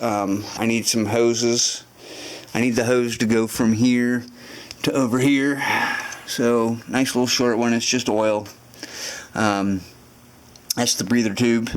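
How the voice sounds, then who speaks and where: A man in his garage talking through a motorcycle repair to camera, thinking aloud with lots of fillers and restarts. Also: mid-range voice. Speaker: motorcycle restoration vlogger. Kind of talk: casual garage walkthrough to camera. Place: home garage.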